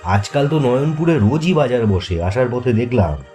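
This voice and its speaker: A man's voice: medium pitch, expressive, moderate pace, mellow, soft background music, pitched above the performer's own voice, close to an everyday voice. A man voicing an irritated, impatient visitor to his ancestral home in an audio story, brusque and questioning. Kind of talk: dramatized horror audio story.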